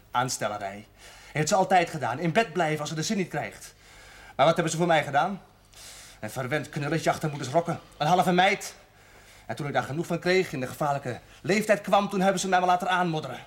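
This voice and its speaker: A man's voice: fairly high pitch, animated, fairly quick, close to an everyday voice. An actor playing a bitter, defiant young male prisoner in a television drama, speaking with sneering sarcasm. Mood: bitter, scornful. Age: young adult.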